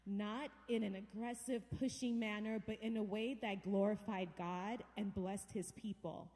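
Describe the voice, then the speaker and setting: A woman's voice: mid-range voice, fairly even intonation, measured pace, a little mellow. A woman hosting a church event, earnestly and gratefully introducing her guest speaker. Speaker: event host. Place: large church hall. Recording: long reverb of a big church hall.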